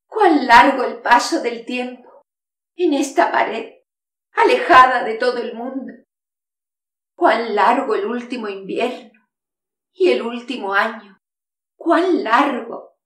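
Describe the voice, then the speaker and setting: A woman's voice: on the high side, a bit bright. A woman playing a wistful walled-up castle maiden. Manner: sighing, lyrical, slow declamation. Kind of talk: theatrical monologue in verse. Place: studio with castle backdrop. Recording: echoey room, mic far off.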